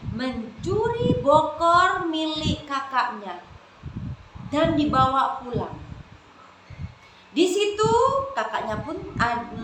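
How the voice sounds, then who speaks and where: Female children's storyteller. Expressive and slow-paced, speaking to little listeners in an echoey room. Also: high-pitched. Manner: expressive, addresses listeners often, dramatic pauses. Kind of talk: animated storytelling to young children. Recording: echoey room, clearly noisy background.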